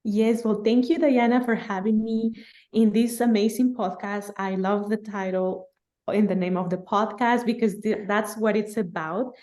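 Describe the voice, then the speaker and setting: A woman's voice: mid-range voice, moderate pace. 30s woman from guatemala, telling her story on a podcast, laughs a lot. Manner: lively, laughing, animated storytelling. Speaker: podcast guest. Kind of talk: casual storytelling interview.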